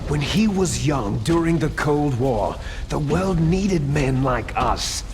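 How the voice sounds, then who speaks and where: A man's voice: fairly high pitch, lively intonation, slow pace, darkish tone, soft background music. A man playing a bitter, proud soldier villain in a video game, grandiose and taunting, drawing out his words dramatically. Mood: grandiose, impassioned.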